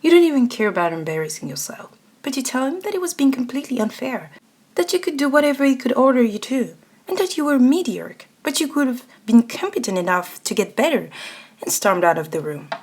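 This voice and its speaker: A woman's voice: high voice, big pitch swings, brisk, a little mellow. A woman reading a scripted second-person ASMR story as its narrator. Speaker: narrator and voice actor.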